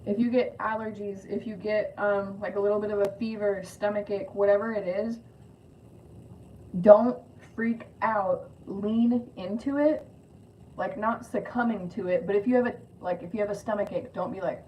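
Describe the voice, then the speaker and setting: A woman with a slight lisp and a medium-pitched voice hosts a spiritual card reading online. She talks in an informal, rambling way, shifting between read-aloud passages and loose chat. The recording is dull and lo-fi, with clear noise behind her. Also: steady tone, normal speed. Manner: informal, rambling asides with swearing. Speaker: spiritual card reader hosting a live stream.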